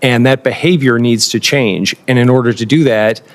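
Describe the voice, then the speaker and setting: A man testifying as a government nominee, measured and fluent in policy jargon. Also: mid-range voice, steady tone. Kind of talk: formal testimony.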